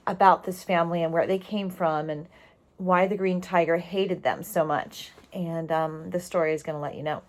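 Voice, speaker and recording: Expressive woman reading a storybook aloud. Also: lowish voice. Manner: expressive, explanatory, pausing on key words. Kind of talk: storybook narration with asides to young listeners.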